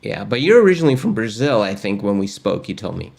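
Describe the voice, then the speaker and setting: A man's voice: on the low side, sing-song, quick pace, creaky, a little mellow, husky. A middle-aged man from Argentina, hosting a casual webinar in an upbeat, chatty way with many fillers, recorded at his desk in a home studio. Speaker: host. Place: home studio with gaming chair.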